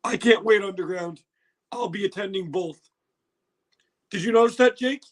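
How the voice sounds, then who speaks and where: A hoarse man hosting a casual livestream reaction, excitable and laughing, swearing now and then, recorded on a phone. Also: high voice, moderate pace. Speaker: livestream host.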